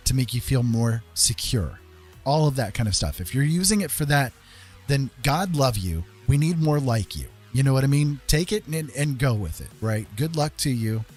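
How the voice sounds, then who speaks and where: A man's voice: mid-range voice, expressive, a little slow, a little mellow, faint music behind. A man hosting a casual livestream, talking in an emphatic, opinionated, conversational way and often checking in with the listener. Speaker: livestream host.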